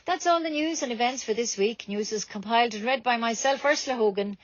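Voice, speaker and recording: A woman's voice: fairly high pitch, brisk, highs cut off. An Irish woman reading scripted local news as a community radio presenter.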